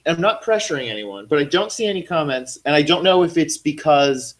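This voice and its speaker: Excitable young man on a handheld mic. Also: a little high, a little fast. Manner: excitable, reads viewer comments aloud. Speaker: podcast co-host. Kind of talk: casual chat on a live podcast. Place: home living room, indoors.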